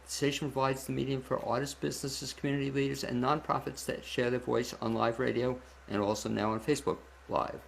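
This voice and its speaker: A man hosting a radio interview show, speaking conversationally and a little haltingly between questions and announcements. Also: medium pitch, level tone, creaky, slight background noise, poor audio.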